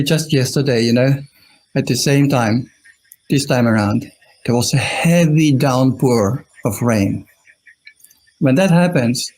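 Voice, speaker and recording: A man's voice: medium pitch, darkish tone, rough recording. A man with an Austrian accent giving an informal Buddhist talk as a monk, conversational and often turning to his listeners with questions. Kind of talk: informal dhamma talk to an online audience.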